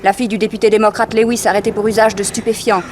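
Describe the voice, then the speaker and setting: An actress playing a detective's brisk, assertive assistant, self-assured and pointed, in a 1960s French radio crime drama. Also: female voice, mid-range voice, little pitch movement, a little mellow, natural voice. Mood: coolly mocking. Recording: noisy old radio drama recording.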